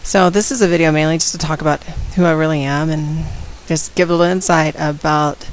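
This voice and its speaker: A woman with a slight head cold and a raspy voice, talking casually and outspokenly straight to her viewers in a vlog. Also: low voice, fairly even intonation, fairly quick, a little hiss or hum, poor audio. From San Antonio, Texas. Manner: outspoken, direct, addresses viewers. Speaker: vlogger. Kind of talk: casual talk to camera.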